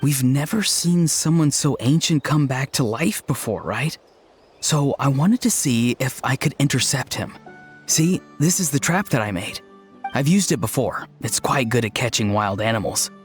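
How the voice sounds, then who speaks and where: An actor playing an earnest, odd young tribesman in a video game, deadpan and matter-of-fact. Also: medium pitch, normal speed, soft background music, lightly acted.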